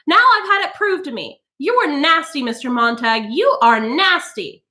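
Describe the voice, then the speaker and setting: Shrill prim lady, whiny, scandalized, high and loud, read by a woman, audiobook. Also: very animated, measured pace, heavily acted. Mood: indignant. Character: shrill prim middle-aged woman who hates poetry.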